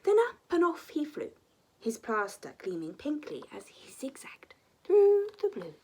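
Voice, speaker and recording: A woman reading a children's story expressively, often dropping to a hushed, breathy delivery. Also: high-pitched, huge pitch swings, unhurried.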